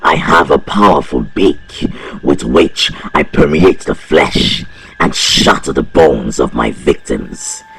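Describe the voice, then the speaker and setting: Evil storybook eagle: huge, boastful and envious, extremely low creaky voice, slow grand delivery, music underneath. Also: male voice, exaggerated voice. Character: evil, jealous, boastful eagle, large and menacing. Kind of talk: dramatized audio storytelling.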